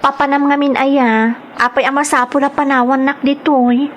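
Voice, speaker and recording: A woman's voice: sits high, little pitch movement, normal speed, a little hiss or hum, natural voice. A woman with an Ilocano accent playing a naive, trusting young woman in an audio drama, hesitant and questioning. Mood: puzzled, pleading. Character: naive, trusting young woman newly arrived seeking work. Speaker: voice actor in a radio-style drama.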